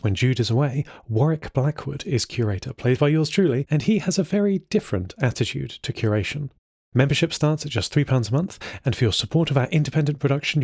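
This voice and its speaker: A man addressing listeners as a podcast writer, in a friendly scripted announcement with a husky voice. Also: medium pitch, darkish tone, no room sound, studio quality.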